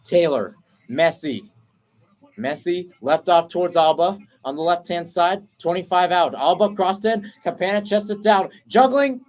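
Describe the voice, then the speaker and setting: A man doing radio play-by-play for a soccer match, talking steadily through the passing and breaking off to explain tactics, then jumping up in pitch when a chance opens. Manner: brisk flowing call, rising sharply on chances; self-correcting, explains tactics between plays. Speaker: play-by-play commentator.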